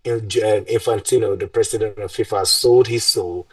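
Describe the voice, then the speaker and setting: A man's voice: lowish voice, fairly even intonation, brisk, no room sound, recorded from a distance. A young man co-hosting a sports podcast, opinionated and rambling, laughing often. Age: young adult. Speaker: podcast co-host. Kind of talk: casual sports podcast chat. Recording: dry sound, mic set back.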